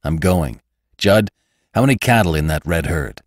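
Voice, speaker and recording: A man's voice: deep voice, expressive, moderate pace, dry recording, studio quality. A man voicing a grim, determined young cowboy hero in a western audiobook, speaking in a terse, decided way. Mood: resolute. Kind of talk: audiobook character acting.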